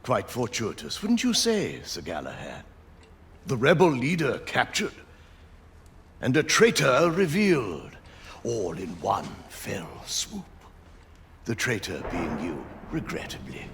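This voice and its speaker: An actor playing a suave, gloating aristocratic villain in a video game, theatrical and mockingly courteous. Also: male voice, medium pitch, wildly swooping pitch, slow, a bit bright, slight background noise, exaggerated voice.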